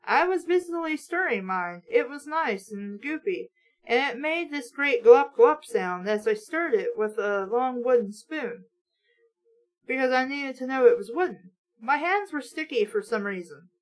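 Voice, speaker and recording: A woman's voice: sits high, expressive, measured pace, rough recording. A woman reading a story aloud and breaking in with sarcastic, exasperated, sweary commentary as host of a mock book show.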